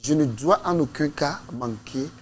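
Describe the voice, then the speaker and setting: Invitée togolaise à la voix grave et posée. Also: female voice, deep voice, a little mellow, a little hiss or hum. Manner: earnest, measured, with hesitations. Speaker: studio guest from a church youth group. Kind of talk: studio discussion.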